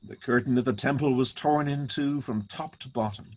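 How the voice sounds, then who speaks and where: A man narrating a dramatic scripture reading with solemn, measured, deliberate phrasing. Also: mid-range voice.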